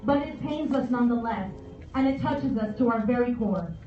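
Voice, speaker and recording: An American woman in her forties delivers a measured, solemn memorial address. Her voice is high and comes from far off with strong echo, as over an outdoor PA. The phrasing is formal and deliberate. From San Antonio, Texas, United States. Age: 40s. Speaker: guest speaker, veterans service officer. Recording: echoey outdoor PA from afar, dull, faint noise.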